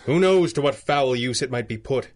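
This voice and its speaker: An actor playing a masked 1930s crime-fighting hero in a radio adventure, articulate and formal with a dry wit. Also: male voice, medium pitch, lively intonation, moderate pace, darkish tone, lightly acted. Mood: earnest, measured. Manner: articulate, formal, theatrical delivery.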